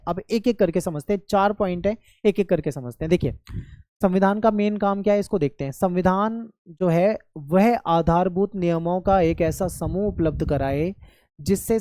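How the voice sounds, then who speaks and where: Patient study-channel teacher, mellow voice, dry close recording, explains point by point with constant check-ins. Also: medium pitch, no echo. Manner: mixes English terms into the explanation. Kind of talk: classroom lecture explaining a textbook chapter.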